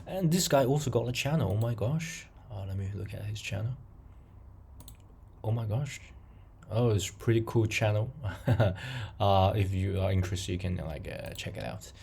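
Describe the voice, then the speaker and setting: A man whose first language is not English, hosting a casual coding tutorial, talking haltingly with many fillers and restarts and chuckling often. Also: lowish voice, creaky, darkish tone, husky.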